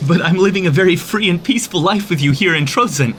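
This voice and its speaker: A young man voicing a brave, headstrong young male hero in an animated story, speaking earnestly and proudly. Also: high voice, fast talker, slight background noise. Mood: reluctant. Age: young adult.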